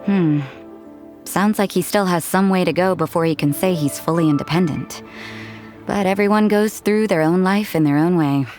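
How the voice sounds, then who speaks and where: An actress playing a cool, detached young woman in a video game, speaking in a measured, dry, unsentimental way. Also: on the low side, darkish tone, soft background music, lightly acted. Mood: reflective. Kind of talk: English video game character dialogue.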